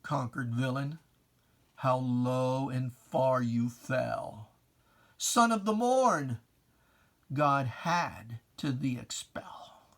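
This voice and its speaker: Poetry reader, solemn and theatrical, very measured pace, mid pitch, for dramatic religious verse. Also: lively intonation. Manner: solemn, theatrical, drawn-out phrasing.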